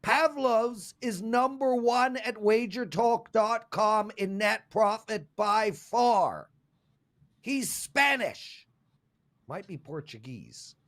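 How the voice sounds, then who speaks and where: A man from South Africa with a South African accent, hosting a sports betting show in casual, lively chat. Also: sits high, slow.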